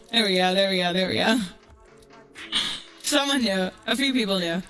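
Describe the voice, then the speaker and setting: A woman with a slightly high voice talks like a livestreamer holding court, brash and freely profane. Her delivery is casual and sarcastic, moving between chat and ranting stories, with music playing behind her. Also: sing-song, takes it slowly, pushed and bright. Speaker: streamer.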